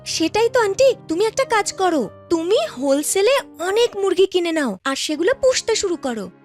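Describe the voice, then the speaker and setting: A woman's voice: very high voice, sing-song, moderate pace, faint music behind. A woman voicing an earnest young street seller in a village cartoon, polite and eager to explain.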